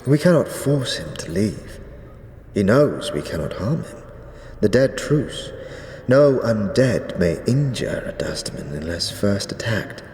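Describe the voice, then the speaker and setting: A grave undead guardian priest speaks in archaic, devout pronouncements. His very deep voice moves slowly and rings through a heavily echoing hall, as in a fantasy audio drama. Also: very animated, darkish tone. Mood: grave, scheming. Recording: echoey stone hall reverb, faint noise.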